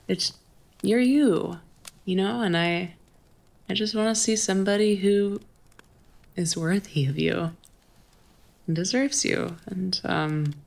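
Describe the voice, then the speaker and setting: Woman as female best friend with a secret crush: lowish voice, unhurried, teasing, tender, intimate ASMR roleplay. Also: gravelly. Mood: earnest, concerned. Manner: teasing, halting, giggly delivery. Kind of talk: intimate ASMR roleplay, acted one-sided conversation.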